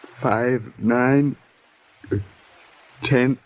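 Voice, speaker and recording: A wounded night watchman in a vintage radio play, speech dragging terribly slowly. He is weak and hurting, groaning out broken fragments, and the old recording sounds dull and muffled. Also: medium pitch, steady tone. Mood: weak, straining. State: weak, in pain, struggling to speak. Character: badly injured watchman, barely conscious, weak and pained. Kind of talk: old-time radio drama acting.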